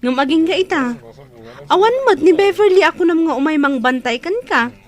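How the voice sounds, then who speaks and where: A woman's voice: extremely high pitch, animated, brisk. A woman acting in a radio drama as a caring but exasperated younger sister. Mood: scolding, exasperated.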